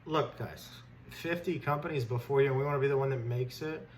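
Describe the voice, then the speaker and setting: A man's voice: mid-range voice, brisk. A man who hosts stock commentary, delivering an unscripted, blunt, indignant rant in informal language. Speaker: stock trader host giving commentary. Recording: clear background noise.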